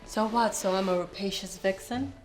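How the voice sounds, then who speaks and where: A woman acting as a coy, arch schemer flirting in a web series. Her voice sits at a middle pitch. Soft music plays behind her. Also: normal speed, lightly acted, poor audio. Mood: coy, flirtatious. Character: flirtatious, scheming female suitor, playfully literary. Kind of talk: acted web series comedy-drama.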